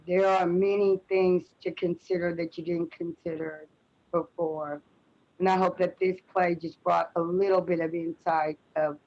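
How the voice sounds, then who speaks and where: A woman's voice: lowish voice, darkish tone. A woman panelist speaking off the cuff and plainly, reflecting personally over a video call. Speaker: panelist, community artist. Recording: muffled video-call audio.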